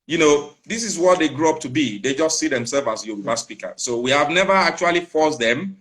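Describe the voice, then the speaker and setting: A Nigerian man with a Nigerian accent answering interview questions calmly, explaining at length and repeating phrases. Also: fairly high pitch, fairly quick, poor audio. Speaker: father and channel runner.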